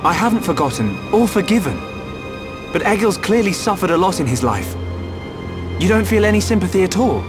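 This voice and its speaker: A sincere young hero of a video game. His voice is fairly high and moves expressively, full of compassion. Music sits clearly underneath. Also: big pitch swings, brisk. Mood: earnest, sympathetic. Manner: sincere, questioning. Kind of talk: dramatic video game cutscene acting.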